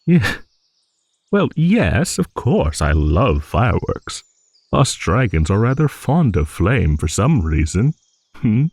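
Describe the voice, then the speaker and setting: A man voicing an ancient, genial dragon in an improvised fantasy audio roleplay, rambling and musing like a refined, hesitant old gentleman. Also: lowish voice, very animated, slow, breathy edge, no room sound, full character voice. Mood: amused, reminiscing.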